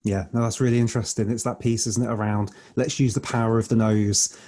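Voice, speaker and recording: A man hosting a conversational interview, agreeing quickly and asking short prompting questions. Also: on the low side, flat delivery, mellow. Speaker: podcast host.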